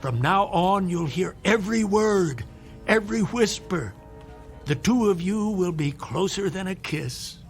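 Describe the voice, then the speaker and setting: A hospital doctor in a radio play, with a notably high voice. He is brisk, dryly sardonic and persuasive, over faint music. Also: expressive. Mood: smooth, reassuring. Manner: dry, sardonic, insistent. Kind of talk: radio drama acting.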